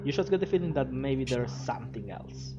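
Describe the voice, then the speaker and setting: A man's voice: medium pitch, expressive, fairly quick, highs cut off, music in the background. A man serving as game master, giving matter-of-fact rulings on rolls.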